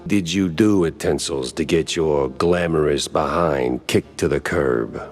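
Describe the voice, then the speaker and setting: A man's voice: extremely low pitch, measured pace. A man voicing a wry, world-weary mouse private detective in a noir video game, with a hard-boiled delivery. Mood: sardonic, musing. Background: music.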